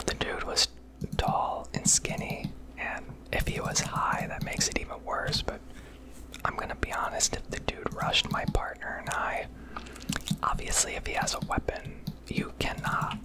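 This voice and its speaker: An American woman rambling candidly and casually in a breathy ASMR whisper, swearing now and then, recorded on a close microphone. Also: normal speed, low-quality recording. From United States. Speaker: ASMR video creator.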